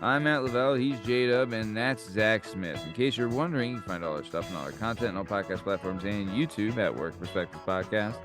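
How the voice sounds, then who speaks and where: A man's voice: fairly low pitch, a little fast, hardly any pauses, over music. A young man hosting a podcast, bantering casually with crude jokes. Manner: laid-back, joking, crude banter. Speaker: podcast host. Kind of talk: casual chat.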